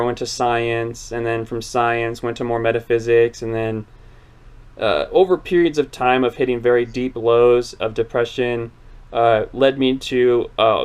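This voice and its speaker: Man, 20s, channel host, casual chat, rambling, earnest, smooth voice. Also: medium pitch. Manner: rambling, earnest, many fillers and restarts.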